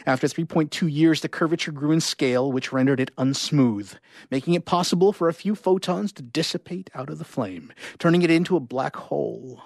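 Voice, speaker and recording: A man performing a live reading of short stories, with expressive delivery and casual asides. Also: on the high side, brisk, gravelly, no room sound, clean studio sound.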